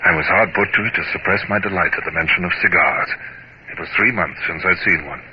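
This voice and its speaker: An actor playing a proud, reserved naval captain in a 1950s radio drama, speaking formally and with measured control. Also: male voice, low-pitched, lightly acted. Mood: reflective, measured. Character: proud, self-controlled British naval captain, narrating his memoirs. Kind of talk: 1950s radio drama acting with first-person narration. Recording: dull, very noisy old radio recording.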